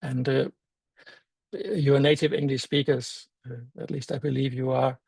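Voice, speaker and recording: An older man with a Danish accent, a native Danish speaker, giving a conference talk in a thoughtful, halting way with many fillers and restarts. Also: medium pitch, flat delivery, gravelly, darkish tone, slightly rough, no echo. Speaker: meetup speaker.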